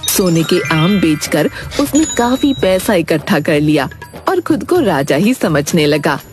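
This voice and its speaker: A woman's voice: on the low side, sing-song, a little slow, music in the background. A woman reading scripted narration for a comedy cartoon story.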